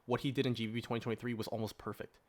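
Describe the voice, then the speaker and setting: A man's voice: mid-range voice, level tone, rapid-fire, darkish tone. A man co-hosting a podcast, giving his opinions emphatically in casual conversation. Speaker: podcast co-host. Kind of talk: casual chat.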